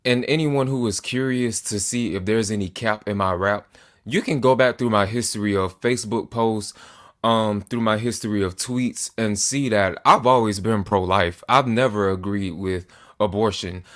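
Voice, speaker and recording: A man in his twenties giving an earnest, deliberate to-camera monologue as a political commentator, with small restarts. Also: lowish voice, fairly bright tone. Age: 20s.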